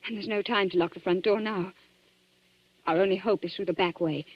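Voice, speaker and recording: An actress in a 1940s radio thriller playing a frightened but quick-thinking accomplice, speaking in a tense, pressing, dramatic way. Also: female voice, medium pitch, steady tone, no room sound, lightly acted. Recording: muffled, noisy 1940s radio recording.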